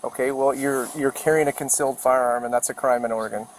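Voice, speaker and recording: A man's voice: medium pitch, fairly even intonation, fast talker. An American man working as a police officer, speaking firmly and patiently during a roadside stop and repeating himself. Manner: patient, firm, repetitive. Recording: faintly noisy outdoor bodycam audio.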